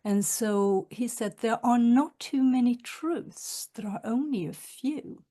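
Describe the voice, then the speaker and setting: A woman from northern Sweden, an author giving a reflective webinar talk, soft-spoken and halting with many fillers, over a video call. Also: on the high side. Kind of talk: reflective lecture with read quotations.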